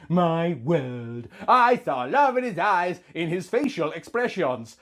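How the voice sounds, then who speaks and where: Theatrical man reading with swooping, lively pitch. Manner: mock-earnest, melodramatic, with drawn-out emphasis. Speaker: host of a comedy reading series. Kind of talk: theatrical dramatic reading of fan fiction.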